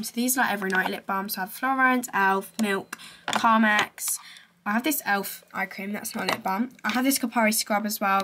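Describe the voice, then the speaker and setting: A woman's voice: mid-range voice, very noisy. Chatty young woman, stuffy-nosed. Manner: chatty, rambling, many fillers and self-corrections. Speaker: beauty vlogger. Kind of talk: casual show-and-tell.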